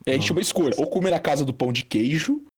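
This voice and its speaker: Young Brazilian guy, fast rambling storyteller, slightly higher voice. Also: lively intonation, darkish tone, breathy edge. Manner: rambling, many fillers and restarts. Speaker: storyteller guest. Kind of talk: casual chat, telling an anecdote.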